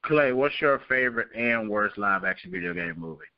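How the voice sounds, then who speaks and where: Male host, chatty and familiar, mid-pitched, on slightly dull, close dry audio. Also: animated, brisk, gravelly, smooth, no room sound. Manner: chatty, addresses guests as my man. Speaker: show host. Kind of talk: casual panel show hosting.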